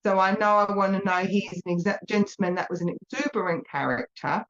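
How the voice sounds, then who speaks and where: A woman's voice: medium pitch, fast, highs cut off. A woman with an Essex accent giving a live mediumship reading to a viewer, chatty and reassuring as she passes on messages.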